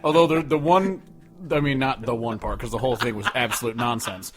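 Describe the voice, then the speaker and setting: A man's voice: mid-range voice, fairly quick, crowd noise. A man co-hosting a podcast, ranting casually with plenty of sarcasm and swearing. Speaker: podcast co-host.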